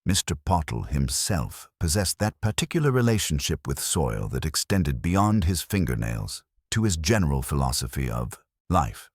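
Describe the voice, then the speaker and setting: Very deep male story narrator. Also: no room sound, studio quality. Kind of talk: scripted narration of a cosy British mystery story.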